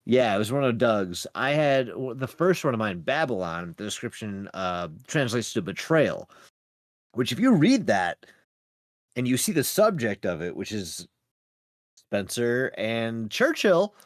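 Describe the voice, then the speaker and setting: A man's voice: medium pitch, sing-song, a little slow, no room sound, clean studio sound. A man co-hosting a podcast, chatting casually and emphatically, with frequent swearing. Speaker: podcast co-host reviewing web horror series. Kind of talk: casual animated chat.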